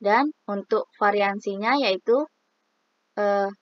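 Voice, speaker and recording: A woman's voice: mid-range voice, slow pace, muffled recording, a little hiss or hum. A woman explaining a statistics exercise, reading formulas aloud step by step with many hesitant fillers. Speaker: student presenting a statistics exercise. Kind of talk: explaining math on screen.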